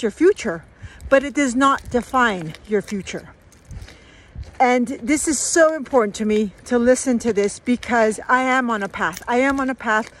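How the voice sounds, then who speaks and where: A bright, high-pitched woman talks in a chatty, upbeat way, stretching her words for emphasis. She is filming herself outdoors on a phone at arm's length. Faint outdoor noise sits behind her voice. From Peru, raised in the United States. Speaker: vlogger hosting a weekly giveaway. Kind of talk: casual live selfie vlog. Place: outdoors by a stony riverbed. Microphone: phone held at arm's length.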